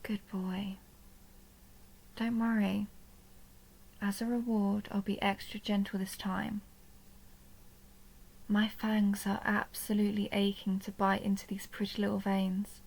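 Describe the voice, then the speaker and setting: A woman playing a seductive, possessive vampire countess in an intimate audio roleplay, speaking slowly and teasingly, often dropping toward a breathy whisper. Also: mid-range voice, little pitch movement, a little mellow, low-quality recording. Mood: hungry, tender. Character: seductive possessive vampire countess toying with her human captive. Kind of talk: intimate dark romance audio roleplay, ASMR-like.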